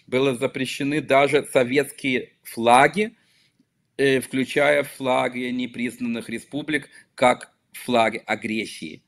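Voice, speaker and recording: A middle-aged man from Belarus, a local politician, answering interview questions over a video call in a measured, emphatic way with some hesitations and self-corrections. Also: mid-range voice, steady tone, a bit bright. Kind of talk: earnest remote interview answers. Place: office with small desk flags, indoors. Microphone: video call webcam.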